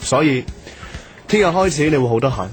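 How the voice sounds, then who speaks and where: A man's voice: mid-range voice, lively intonation, a little fast, lightly acted. An actor playing a brash, cocky and playful young male company boss in a Cantonese radio drama. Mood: cool, cutting.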